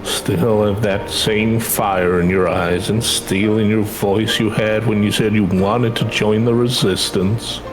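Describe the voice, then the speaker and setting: A man's voice: on the low side, fairly even intonation, takes it slowly, few pauses, music in the background, low-quality recording. A man voicing a caring, worried and insistent mother in video game dialogue. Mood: resigned, affectionate.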